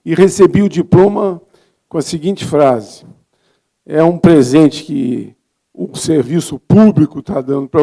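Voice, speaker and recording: Casting: Brazilian man, 70s, slightly high-pitched for his sex, gravelly, informal conference speaker. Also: mellow. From Rio de Janeiro, Brazil. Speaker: physician speaking as a congress presenter. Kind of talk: conference talk, informal and reflective.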